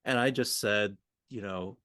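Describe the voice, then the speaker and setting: A man's voice: lowish voice, a little slow, fairly bright tone, no room sound, clean studio sound. A man co-hosting a coaching discussion, talking informally and thinking aloud as he goes. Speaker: podcast co-host and communication coach. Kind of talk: conversational coaching discussion with roleplay.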